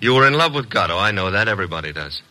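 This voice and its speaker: A cocky male detective's sidekick from a 1950s radio drama. His lowish voice is very sharp and forward, with big swings in pitch. He talks in wry, slangy jabs. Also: sing-song, very fast, exaggerated voice. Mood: pressing, insinuating. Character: cocky, wisecracking male private detective's assistant.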